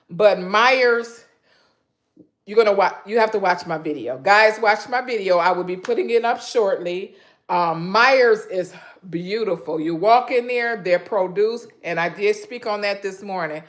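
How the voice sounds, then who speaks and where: A woman from Michigan hosting a casual live stream from her home kitchen, with a loud voice, rambling and chatty, full of ums as she talks straight to her viewers through a phone camera. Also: mid-range voice. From Michigan, USA.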